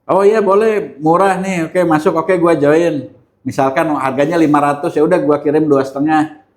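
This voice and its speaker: A man's voice: on the high side, steady tone, normal speed, darkish tone. A man with a Jakarta Indonesian accent in a casual chat, telling things in slangy everyday language and acting out bits of dialogue. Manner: slangy, acts out dialogue with rhetorical questions. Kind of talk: casual chat, colloquial storytelling.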